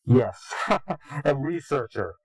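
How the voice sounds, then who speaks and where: A man's voice: mid-range voice, animated, takes it slowly, darkish tone, husky, no room sound. A man being interviewed, speaking in a contemptuous, indignant way. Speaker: anonymous interviewee. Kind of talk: recorded interview.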